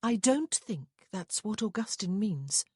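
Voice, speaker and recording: Woman narrator doing a proper disapproving lady, measured and composed, slightly husky, storybook. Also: mid-range voice, no room sound, studio quality, pitched above the performer's own voice. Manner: even, measured. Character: composed, disapproving upper-class mother and sister.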